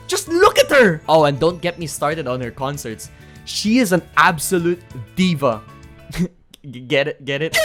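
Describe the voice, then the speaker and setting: A young adult narrating a scripted video essay in a casual, animated way, moving between earnest points and playful asides. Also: mid-range voice. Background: background music.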